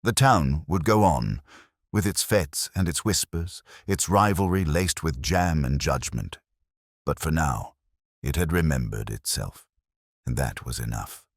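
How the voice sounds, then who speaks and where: A man reading scripted story narration in a measured, literary manner. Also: extremely low pitch, expressive, no room sound, studio quality. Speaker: audiobook narrator.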